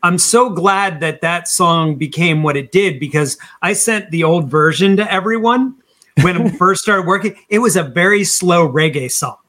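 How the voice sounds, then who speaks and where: A man in his fifties speaks in a fairly high voice. He talks casually as a musician being interviewed, sounding enthusiastic and putting emphasis on his points. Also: normal speed, bright, forceful tone. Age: 50s. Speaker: band singer being interviewed.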